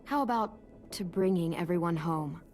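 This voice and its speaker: A woman's voice: on the low side, moderate pace, a little mellow, close to an everyday voice. An American actress playing a guarded but caring teenage girl survivor in a video game, in a natural style. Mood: warm, amused. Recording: music behind the voice.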